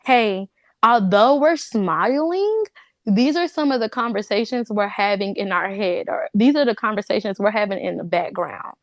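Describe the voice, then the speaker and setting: A woman's voice: mid-range voice, expressive, moderate pace, no echo. A woman speaking as an interview guest in an informal, conversational way, peppering her talk with "like". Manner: informal, says "like" often. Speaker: cast member, interview guest. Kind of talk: casual conversational chat.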